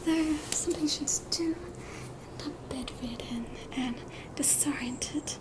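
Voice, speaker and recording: An Australian woman whispers in a high, delicate register. She plays a polite receptionist nurse at a horror hospital, speaking slowly and soothingly. It is a scripted ASMR roleplay with a reassuring manner. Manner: softly whispered, slow, reassuring.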